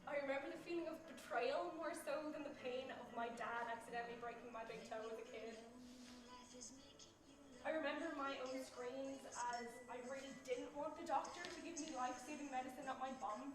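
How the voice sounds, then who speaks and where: A woman's voice: sits high, fairly quick. A young Irish woman performing a reflective, reminiscing monologue on a stage, picked up from a distance. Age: young adult. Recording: very echoey, from far off, faint music.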